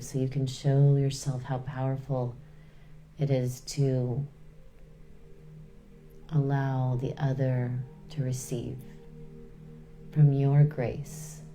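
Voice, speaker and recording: A woman leading a guided meditation in a soothing, unhurried way, pausing between short phrases. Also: extremely low pitch, mellow.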